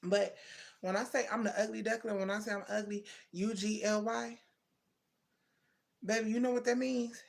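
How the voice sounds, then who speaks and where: Brief: woman in her thirties, livestream host, gravelly voice, chatty and familiar with her viewers. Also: medium pitch, steady tone, normal speed, long pauses. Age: 30s. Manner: chatty, addresses viewers as baby and twin, answers comments. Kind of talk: casual live chat with viewers.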